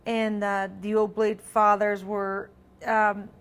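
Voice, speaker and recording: A middle-aged woman, French her first language, talks as a guest in a casual interview. Her speech wanders and restarts, full of ums and easy laughter, at an ordinary middle pitch. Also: monotone, slow pace, clean tone. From Alberta, Canada. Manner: chatty, many ums and restarts, laughs easily. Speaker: singer-songwriter guest.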